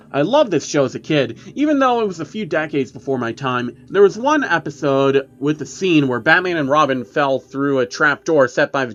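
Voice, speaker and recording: A man's voice: a little high, fairly bright tone, muffled recording. A man voicing a chatty male YouTuber who explains creepy cartoon myths emphatically, in online video style.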